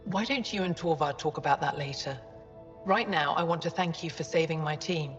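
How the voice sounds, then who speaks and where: A reserved female alien scientist from a sci-fi game, voiced by an actress. Her lowish voice is formal and measured. It carries a long reverb, with faint music underneath. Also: dull audio, close to an everyday voice. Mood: gracious. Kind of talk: cinematic video game acting.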